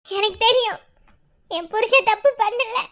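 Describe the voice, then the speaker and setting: A woman's voice: very high voice, measured pace, fairly bright tone. A woman playing an anguished but determined wife, making emotional, resolute declarations in a family melodrama. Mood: tearful resolve. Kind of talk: melodramatic family drama acting.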